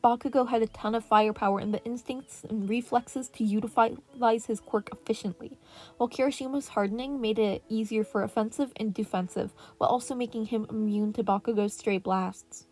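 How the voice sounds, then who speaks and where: Casting: female story narrator, higher-pitched voice, reading fan fiction over gentle piano music. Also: steady tone, brisk. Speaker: podfic narrator. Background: relaxing piano and birdsong music.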